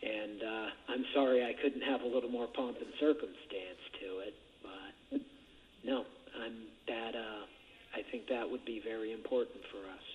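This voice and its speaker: A man's voice: on the low side, moderate pace. A man chatting casually in a hesitant, rambling way over a jail phone line. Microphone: telephone handset. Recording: muffled, noisy jail phone call recording.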